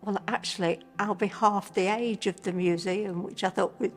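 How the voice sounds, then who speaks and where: A woman in her seventies gently recalling a childhood library. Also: mid-range voice, steady tone, normal speed, soft background music. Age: 70s. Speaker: interviewee. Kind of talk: casual reminiscing.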